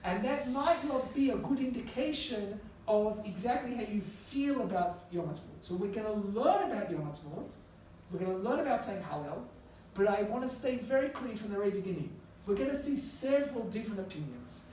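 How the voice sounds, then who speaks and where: A middle-aged man teaches a class in a relaxed, storytelling way, turning now and then to speak directly to his students. He is recorded from across the room, so his voice bounces around a very echoey classroom with a faint hiss underneath. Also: sits high, a little fast, distant mic. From Australia. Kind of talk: conversational classroom lecture. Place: classroom with a live audience.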